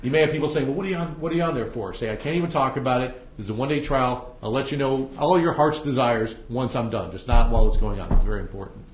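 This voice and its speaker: A man's voice: medium pitch, fast talker, hardly any pauses, slight background noise. A man speaking as a judge, giving informal, plain-spoken instructions in a courtroom, explaining things step by step. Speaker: judge presiding over a trial. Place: indoor courtroom. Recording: roomy, dull courtroom audio.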